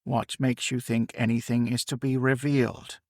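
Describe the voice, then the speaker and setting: A man voicing a stern, gruff older Victorian professor who speaks curtly and commandingly. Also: medium pitch, level tone, darkish tone, dry recording, studio quality, close to an everyday voice. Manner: gruff, curt, slow and commanding.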